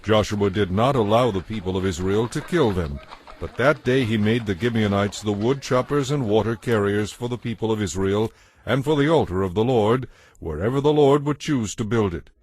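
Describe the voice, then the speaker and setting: A man narrating scripture from a script in a measured, solemn, dramatic way. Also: lowish voice, lively intonation, a little mellow, slightly rough, dry recording. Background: music.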